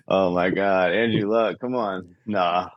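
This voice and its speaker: A man's voice: deep voice, dry recording, highs cut off. A man talking as a podcast guest in a casual chat about sports.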